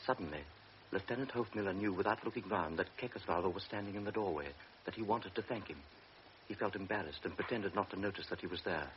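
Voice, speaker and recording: A man narrating a radio drama in a measured, quiet way. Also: deep voice, a little hiss or hum. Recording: dull old radio recording with music.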